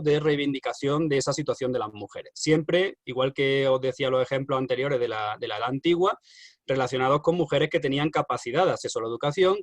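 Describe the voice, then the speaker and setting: Man lecturing online, halting with fillers, dry close sound. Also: mid-range voice, dry recording. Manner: hesitant, many fillers and restarts. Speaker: university lecturer.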